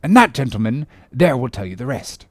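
A man's voice: medium pitch, very animated, a little fast, no room sound. A man voicing a hearty, jovial English whaling captain in a storybook, full of teasing bluster and exclamations. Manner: exclamatory, ribbing, boisterous.

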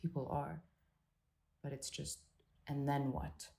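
A woman psychotherapist talks through her view in a halting, reflective way, full of restarts and fillers. Her voice sits low and sounds mellow. The effect is a thoughtful guest working out her ideas as she speaks. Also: little pitch movement, vocal fry. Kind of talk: reflective conversational interview.

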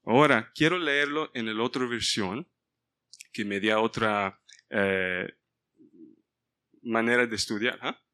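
Bright-voiced man leading a Bible study. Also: on the low side, takes it slowly, pauses often, clean tone. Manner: mixes in English words, stops to ask the group for help. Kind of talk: informal Bible study sermon.

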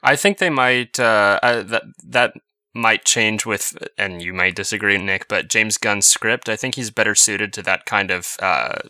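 A man's voice: fairly low pitch, unhurried, bright, forceful tone, no echo. A man hosting a casual podcast chat, hesitating with many fillers as he steers the talk with questions.

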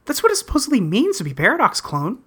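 A woman's voice: fairly high pitch, huge pitch swings, fast talker, breathy edge, full character voice. A woman voicing an earnest, rambling teenage boy in a webcomic dub, breezily recounting his adventures. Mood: thoughtful, awkward. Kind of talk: character dub reading of a webcomic.

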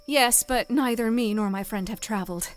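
Actress as plucky young barmaid, fairly high voice, earnest, stubborn, fantasy audio play, soft music behind. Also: female voice, normal speed, lightly acted. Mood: determined. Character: bold, determined young barmaid setting out adventuring. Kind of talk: fantasy audio drama acting.

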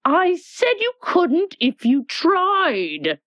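A woman voicing a stern, sharp-tongued queen in a storybook reading, slow and scolding. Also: extremely high pitch, huge pitch swings, no room sound, lo-fi sound, voice pushed up, full character voice.

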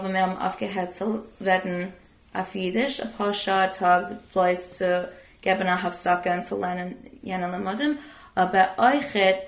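A woman's voice: medium pitch, reverberant. A woman chatting casually in a group discussion and dropping in English fillers.

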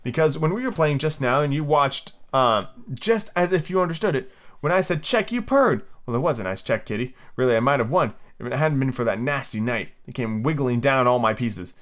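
A man's voice: medium pitch, a little fast, lightly acted. Guy doing a fussy little girl voice, chattering to her kitten, up and down a lot. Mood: dreamy. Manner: fussy, lecturing, lively and whimsical. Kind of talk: amateur reading of a children's book.

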